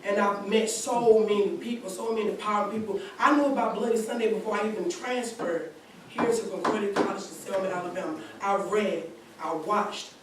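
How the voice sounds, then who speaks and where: A young woman in her twenties gives an earnest testimony, her long sentences full of restarts. She sounds far from the microphone, and her voice rings through a very echoey church hall with clear background noise behind her. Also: medium pitch, a little fast. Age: 20s. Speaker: college student speaking at a community seminar. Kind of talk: personal testimony at a gathering.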